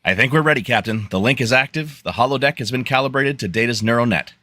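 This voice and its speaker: A man's voice: mid-range voice, fast, bright, forceful tone, no echo, natural voice. A man playing an earnest, practical starship chief engineer in a live radio-theatre reading, with a matter-of-fact technical manner. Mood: earnest, businesslike. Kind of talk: podcast live script reading.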